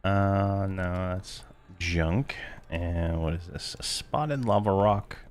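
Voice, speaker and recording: Very deep-voiced hoarse man narrating gameplay. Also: slow pace. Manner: rambling, filler-heavy, laid-back. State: hoarse, losing his voice. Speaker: game video host. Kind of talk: casual gameplay commentary.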